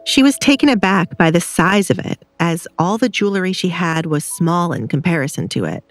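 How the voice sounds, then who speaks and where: A woman narrates a scripted romantic audio drama. Her voice sits fairly low, with music playing underneath. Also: lively intonation, normal speed.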